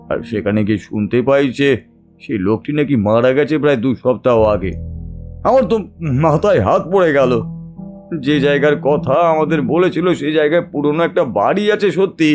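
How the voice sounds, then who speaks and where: A man acting a distressed, cheated family man in an audio drama, telling his troubles haltingly and seeking agreement. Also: a little high, darkish tone, natural voice. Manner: confiding, halting, seeks agreement with tag questions. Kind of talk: Bengali audio detective drama monologue.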